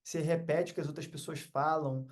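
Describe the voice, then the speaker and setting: A man's voice: on the high side, fairly even intonation, fairly quick. A man with a Brazilian accent talking casually as a podcast guest, reflective, earnest and motivational in manner.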